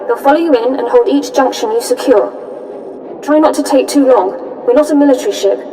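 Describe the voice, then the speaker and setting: A woman's voice: sits high, fairly quick, dark tone, a little hiss or hum, lightly acted. A woman playing an uneasy, guarded ship's officer in a video game, earnest and businesslike. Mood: uneasy, firm. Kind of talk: scripted video game acting. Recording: slightly distant from the mic.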